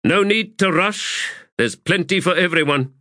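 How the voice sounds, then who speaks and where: A man's voice: medium pitch, expressive, normal speed, a bit bright, dry recording, clean studio sound, voice pushed up, close to an everyday voice. A man voicing a commanding, exasperated army commander in a formal, clipped audiobook performance. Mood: loud, orderly. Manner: formal, clipped, authoritative oratory. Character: commanding, exasperated male army commander addressing his troops. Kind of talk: audiobook character acting.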